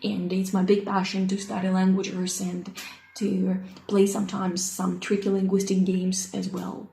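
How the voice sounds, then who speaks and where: A woman in her thirties, a native Russian speaker with a Russian accent, introducing herself as an English teacher and pausing often with ums and uhs as she searches for words. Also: medium pitch, completely flat delivery, creaky, mellow, slight background noise. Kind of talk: unscripted self-introduction. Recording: echoey room.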